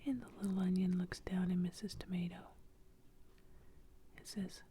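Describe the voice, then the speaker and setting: Woman ASMR storyteller, slow low whisper, faint hum behind. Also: steady tone, dark tone.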